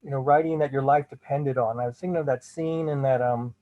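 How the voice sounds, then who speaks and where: Mellow, hesitant poet over a dull call. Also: male voice, mid-range voice, fairly even intonation, fairly quick. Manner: hesitant, many fillers. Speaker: guest poet. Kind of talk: casual remarks and poetry reading. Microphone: video call. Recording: dull lo-fi video call audio.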